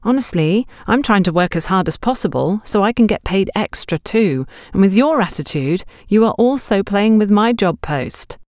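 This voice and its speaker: An earnest, anxious young salesgirl, played by a woman narrator. The voice is raised in pitch and lively, with a defensive honesty suited to an audiobook. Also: moderate pace, hardly any pauses, no room sound, clean studio sound, lightly acted.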